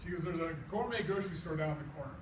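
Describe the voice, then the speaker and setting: A middle-aged American man tells a comic tall tale live, in a folksy, animated way, acting out both sides of the dialogue. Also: fairly high pitch, very fast. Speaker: storyteller. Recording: echoey room, distant mic, faint noise.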